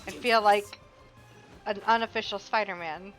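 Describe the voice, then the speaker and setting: A woman's voice: mid-range voice, fairly even intonation, moderate pace. A woman giving casual live commentary as a game streamer, chatty and informal, musing aloud. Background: game music.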